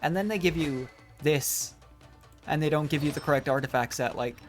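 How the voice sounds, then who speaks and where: A man's voice: on the high side, moderate pace. A man giving casual playthrough commentary as a gaming streamer, musing aloud in chatty asides. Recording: game music behind the voice.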